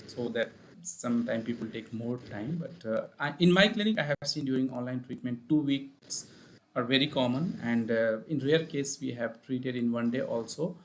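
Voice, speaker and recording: Indian male therapist, live stream host, steady and measured, faint hiss behind. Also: mid-range voice, low-quality recording. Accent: Indian English. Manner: measured, repeats points, frequent uh fillers. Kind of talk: live-stream talk and advice. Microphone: online live stream.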